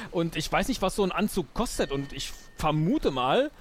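A man's voice: on the high side, sing-song, moderate pace, slight background noise, voice pushed down. A man playing a breezy, bumbling pirate adventurer in his forties, rambling jovially in an improvised audio play. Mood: hesitant, rambling.

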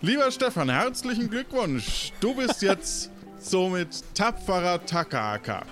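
A man narrating an improvised story as game master, deliberate and given to dramatic pauses. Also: high-pitched, sing-song, fairly bright tone, faint music behind.

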